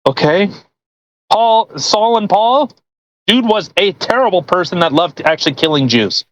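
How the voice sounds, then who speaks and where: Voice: mid-range voice, animated, measured pace. A podcast host reading aloud and commenting casually, blunt and argumentative, with plenty of swearing.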